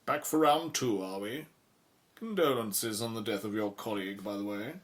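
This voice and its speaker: A man's voice: on the low side, normal speed, natural voice. An actor playing a stubborn, haughty male academic, curt and condescending, in an audio drama. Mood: cold, dismissive.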